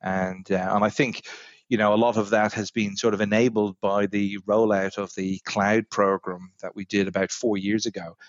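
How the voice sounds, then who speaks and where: Irish man talking shop, measured. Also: lowish voice, little pitch movement, no room sound, muffled recording. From Ireland. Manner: measured, thoughtful, frequent fillers. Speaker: technology executive guest. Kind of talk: corporate podcast interview.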